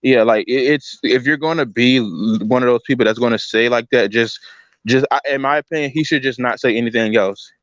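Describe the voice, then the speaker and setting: Guy on a talk panel, normal pitch, keeps pushing his point, kinda dull lo-fi sound. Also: a little fast, fairly bright tone, dry recording. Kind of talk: casual conversational debate.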